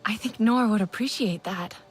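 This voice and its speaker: An actress playing a young Na'vi woman in a video game, a wary and curious investigator with a measured, questioning manner. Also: medium pitch, soft background music, natural voice. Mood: friendly. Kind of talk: video game dialogue acting.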